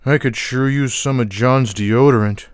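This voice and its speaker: Guy doing a lazy sarcastic cartoon house cat, deadpan drawl, wry game one-liners, really dry close sound. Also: medium pitch, normal speed, dry recording, lightly acted.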